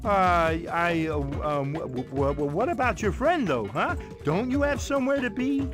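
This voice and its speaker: Bumbling high-pitched cartoon warthog. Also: male voice, expressive, measured pace, over music. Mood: nervous bluster. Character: big, bumbling, good-hearted male warthog. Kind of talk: animated video game voice acting.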